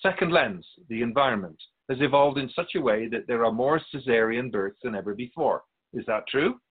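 A man playing a wise, patient otherworldly spirit in a radio drama, explaining things in a measured, gently pedantic way with a husky voice. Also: medium pitch, animated, phone-quality sound. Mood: explanatory, measured. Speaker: spirit being interviewed. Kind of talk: scripted radio drama dialogue.

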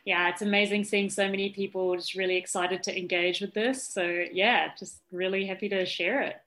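A woman's voice: mid-range voice, steady tone, normal speed, a bit bright. A woman in her thirties with a New Zealand accent, chatting as an interview guest, upbeat and laughing often. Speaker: guest, yacht officer and founder. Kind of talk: casual interview chat.

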